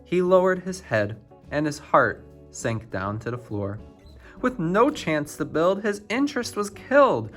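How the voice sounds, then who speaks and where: A man narrates a rhyming picture book for children, expressive and theatrical. His pitch swings widely and he takes his time, with faint music underneath. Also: a little slow. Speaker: children's storybook reader.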